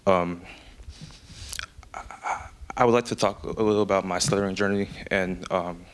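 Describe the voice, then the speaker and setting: A man's voice: sits low, fairly even intonation, normal speed. A young man giving a prepared personal speech, speaking with an audible stutter, frequent repetitions and fillers. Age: young adult. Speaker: student speaker. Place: event speech.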